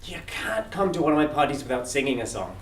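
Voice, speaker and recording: A man's voice: medium pitch, very animated, fast, close to an everyday voice. A man voicing a cheery, nonstop-talking 95-year-old Scotsman who keeps fussing over his guests, in a put-on Scottish accent. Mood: insistent. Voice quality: talks over others nonstop, fussing hospitably. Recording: echoey room from far off.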